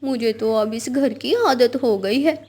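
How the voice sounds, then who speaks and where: A woman plays an anxious, scheming wife bird in a children's cartoon. She sounds fretful and husky, pitched a little high. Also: unhurried, darkish tone. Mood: worried scheming. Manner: fretful, conspiratorial.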